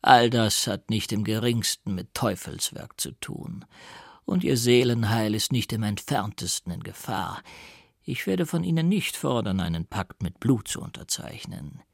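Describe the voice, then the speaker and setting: Man voicing a gravelly, low, sly old doctor. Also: expressive, dry recording, studio quality, full character voice. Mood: soothing, sly. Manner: theatrical, drawn-out, mocking and self-satisfied. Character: old gloating doctor and mystic, slyly triumphant, male. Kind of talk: dramatised audiobook reading.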